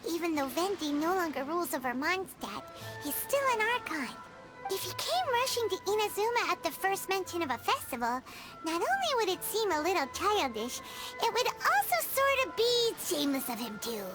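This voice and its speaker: A woman voicing a tiny, childlike, excitable fairy companion in an anime video game. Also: very high voice, moderate pace. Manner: speaks of self in third person, exclamatory. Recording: music playing behind the voice.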